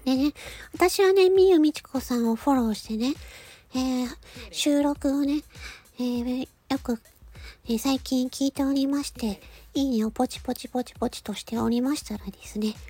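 Casting: female amateur voice actor, very high cutesy nasal tone, polite informal channel chat in short halting bits. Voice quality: nasal, stuffy-nosed; cute, cartoon-like voice. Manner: polite, giggly, halting phrases ending in a soft filler. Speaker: amateur voice actor hosting a personal audio channel. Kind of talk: casual chat to listeners.

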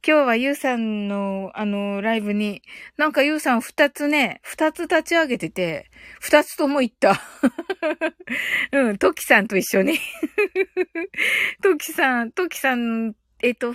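A woman hosting a casual livestream, talking to listeners in short, halting phrases with many fillers and tags, in a chatty, giggly way. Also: sits high, expressive, fairly bright tone, no echo, clean studio sound. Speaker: livestream host. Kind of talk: casual livestream chat, greeting listeners and reading comments.

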